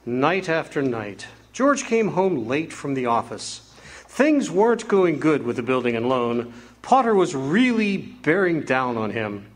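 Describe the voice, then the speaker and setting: A man's voice: a little high, very animated, measured pace. A man as a folksy angel narrator. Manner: folksy storytelling. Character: kindly senior male angel narrating. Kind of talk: amateur stage radio drama.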